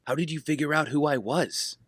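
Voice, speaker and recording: A man playing a guarded, defiant prisoner in an audio drama, with a husky voice. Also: a little high, a little fast, fairly bright tone. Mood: wary, defiant. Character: wary captive young man, guarded and defiant.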